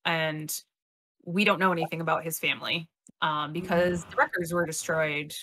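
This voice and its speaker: A woman speaking on a casual panel discussion, earnest and measured, pausing with "uh"s. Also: low-pitched, steady tone, pushed and bright, low-quality recording.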